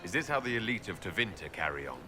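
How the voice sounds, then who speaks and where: A sincere elven hero from a fantasy game, in a low voice that moves expressively. He speaks directly and tenderly, with gentle teasing. Faint music sits behind him. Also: sing-song, quick pace. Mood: curious. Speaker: player character in a fantasy role-playing game. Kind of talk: video game character dialogue.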